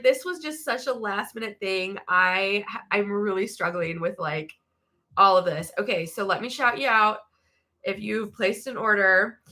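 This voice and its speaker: Woman livestream host with a cold, stuffy nose, excitable and chatty, bright tone. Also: medium pitch. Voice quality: nasal, stuffy voice. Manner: bubbly, excitable, many fillers. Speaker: livestream host selling merch. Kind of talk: casual livestream chat. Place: home studio.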